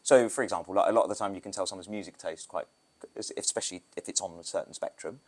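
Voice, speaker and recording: British man, very low voice, casual rambling podcast guest, photographer. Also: quick pace. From Britain. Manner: loose, rambling, with restarts and asides. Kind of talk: casual interview talk.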